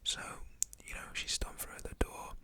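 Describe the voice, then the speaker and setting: A man whispering a casual, slangy storytime. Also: normal speed.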